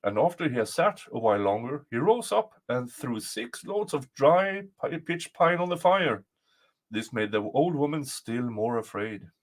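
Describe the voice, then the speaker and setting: A man reading a folktale aloud as a storyteller, with deliberate, dramatic phrasing. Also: medium pitch, sing-song.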